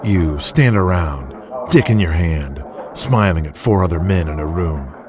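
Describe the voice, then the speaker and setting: A man's voice: low-pitched, animated, measured pace, a crowd in the background. A man playing a domineering, vulgar, grandiose wrestling company boss in an audio drama, speaking in a commanding, scornful, emphatic way. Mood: scornful, crude.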